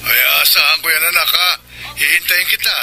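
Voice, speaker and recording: A man's voice: fairly high pitch, fairly even intonation, a little fast, heavy background noise, lightly acted. An actor with a Filipino accent playing a concerned older father on a phone call in a radio drama. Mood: hopeful. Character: concerned older father calling his daughter.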